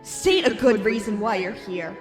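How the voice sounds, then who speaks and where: A woman's voice: mid-range voice, normal speed, over music, natural voice. Woman playing a stern young warrior woman. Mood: commanding. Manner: stern, formal, commanding. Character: battle-ready young female warrior, solemn and defiant. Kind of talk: anime fan-drama acting.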